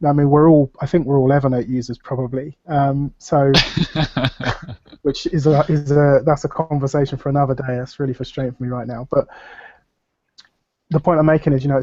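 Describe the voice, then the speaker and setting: A man's voice: mid-range voice, dark tone, dry recording. A man with a nasal voice from a cold, talking in a rambling, hesitant way with many fillers on a casual video call. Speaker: panel guest. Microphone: computer microphone on video call. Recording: dull dry video call audio.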